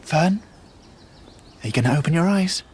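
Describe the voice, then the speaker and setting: Lively-pitched, fond dad. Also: male voice, normal speed, slightly rough. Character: fond, separated father of young girl. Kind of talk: radio drama dialogue.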